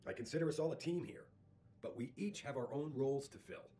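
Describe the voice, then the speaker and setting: An actor playing an authoritative, evasive company CEO in a video game, persuasive and in command. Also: male voice, mid-range voice, fairly quick, warm tone, lightly acted. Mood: firm, guarded.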